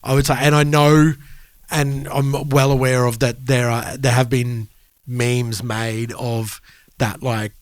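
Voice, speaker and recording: A man's voice: mid-range voice, level tone, takes it slowly, no echo. A man co-hosting a music podcast, talking in a casual conversational style. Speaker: podcast co-host.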